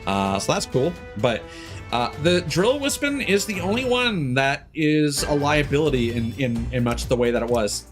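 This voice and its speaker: A man's voice: fairly high pitch, very animated. Man rambling through casual game commentary. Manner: rambling, hesitant, halting delivery; casual swearing. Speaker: game streamer, host. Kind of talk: casual let's-play commentary. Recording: game music behind the voice. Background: video game music.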